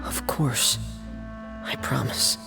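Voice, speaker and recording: A man playing a shy, melancholy young lad in a video game, hesitant and subdued, with fry in his voice. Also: slow pace, over music, close to an everyday voice. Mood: sincere. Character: shy, soft-spoken young boy diver, melancholy.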